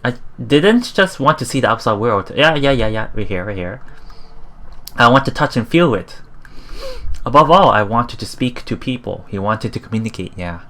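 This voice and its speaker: A let's play host reading a visual novel aloud in a halting way, with casual, musing commentary. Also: medium pitch, animated, fairly bright tone. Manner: halting, musing, repeats phrases.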